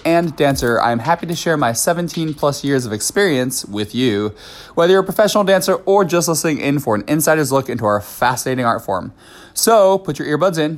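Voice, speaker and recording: A man hosting his own podcast, talking casually and candidly while he has a cold, with lots of fillers and quick asides. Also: mid-range voice. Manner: chatty, candid, many fillers and self-asides. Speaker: podcast host. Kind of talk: casual solo podcast talk.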